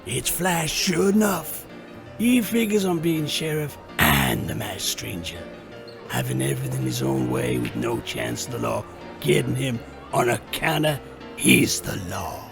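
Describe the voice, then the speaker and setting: A man's voice: medium pitch, big pitch swings, measured pace, gravelly. A man playing a folksy, suspicious cowhand in a comic-book Western audio drama. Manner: folksy cowboy speech. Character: folksy, suspicious cowhand eager for frontier justice. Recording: music behind the voice.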